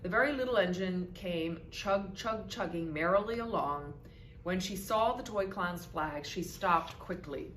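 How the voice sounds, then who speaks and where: A woman's voice: fairly low pitch, unhurried, a bit bright. A woman reading a picture book aloud expressively for children as a volunteer storyteller. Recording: echoey room with background noise.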